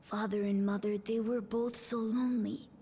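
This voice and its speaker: A woman voicing a brave, wistful young orphaned boy in a video game, speaking softly and hesitantly. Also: on the high side, little pitch movement, slight background noise, lightly acted. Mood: wistful, subdued. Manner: slow, hesitant.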